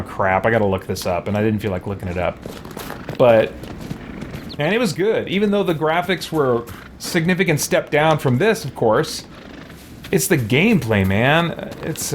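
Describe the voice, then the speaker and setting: A man's voice: medium pitch, slight background noise. A man doing casual, conversational live commentary as he streams a video game. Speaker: let's play streamer.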